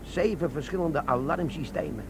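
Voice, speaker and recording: An actor playing a cheeky, scheming older army sergeant in a comic radio play, with a gravelly, colloquial delivery. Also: male voice, fairly high pitch, normal speed. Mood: conspiratorial. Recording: noisy old radio drama recording.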